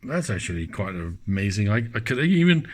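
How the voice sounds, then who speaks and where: A man's voice: on the low side, big pitch swings, a little fast, faint music behind. A man gives a casual, hands-on technical demonstration in an informal, enthusiastic manner. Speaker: host of an electronics teardown channel.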